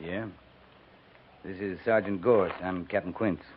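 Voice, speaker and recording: An actor playing a steady, weary US cavalry captain in a 1950s radio western, speaking in a calm, laconic, plain-spoken way. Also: male voice, low-pitched, unhurried. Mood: calm, reassuring. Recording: noisy, tinny 1950s radio recording.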